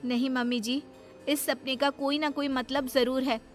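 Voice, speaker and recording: A woman's voice: sits high, level tone, moderate pace, faint music behind, voice pushed up, natural voice. A woman voicing a caring, worried young mother in a cartoon story, emotional and concerned. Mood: insistent. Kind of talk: Hindi cartoon horror story acting.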